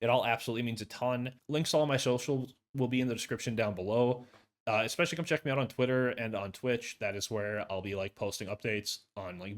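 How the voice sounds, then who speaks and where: A hoarse man with a lowish voice talks through his verdict on a video game in a loose, casual way. He rambles, fills gaps with "like" and restarts his sentences often, recorded close on a desk condenser mic. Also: a bit bright. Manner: rambling, with many fillers and restarts. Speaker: gaming YouTuber. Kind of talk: casual game review talk. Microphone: USB condenser desk mic.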